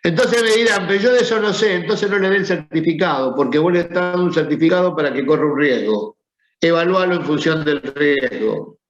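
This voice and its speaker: Male teacher, Rioplatense accent, higher voice, chatty and questioning, echoey classroom, muffled recording. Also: brisk. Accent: Rioplatense Spanish. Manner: conversational, asks the class questions. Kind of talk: classroom lecture. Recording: echoey room, dull sound.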